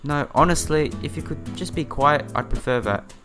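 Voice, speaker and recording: Man plays grumpy teenage little sister in a visual novel: blunt, teasing, pitched up, music underneath. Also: animated, normal speed, natural voice. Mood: irritable.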